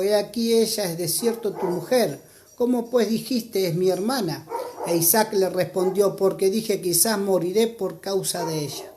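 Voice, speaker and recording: A preacher with an Argentine accent, giving an earnest church sermon and reading scripture aloud, slowing down to stress key points. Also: medium pitch, warm tone, slight background noise. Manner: earnest, exhorting, slows down for emphasis.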